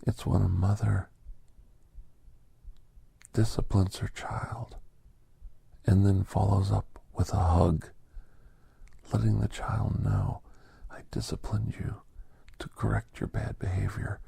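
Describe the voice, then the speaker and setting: A man performs a gentle, solemn doctor telling an ASMR holiday tale in a low, very breathy whisper. The delivery is slow and reverent, with long pauses between phrases and a heavy gravelly crackle underneath. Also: dry recording, heavily acted. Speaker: narrator and storyteller.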